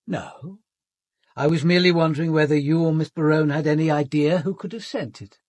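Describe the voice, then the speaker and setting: An actor playing a composed, probing senior police detective in a British radio drama, speaking in a measured, formal way. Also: male voice, on the high side, darkish tone, no echo, natural voice. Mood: firm, polite.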